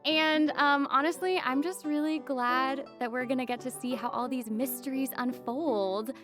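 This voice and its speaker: A woman's voice: sits high, steady tone, normal speed, over music. A woman co-hosting a game update show in an upbeat, semi-scripted presenting style.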